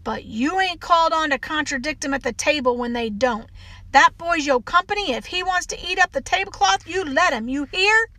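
A woman's voice: sits high, moderate pace, bright, forceful tone, no room sound. A woman voicing a stern, folksy housekeeper from an audiobook story, scolding sharply in an American Southern accent.

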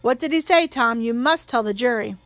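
Woman reading a calm, probing defence lawyer man. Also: a little high, no room sound. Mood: measured, probing. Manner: measured, direct questioning.

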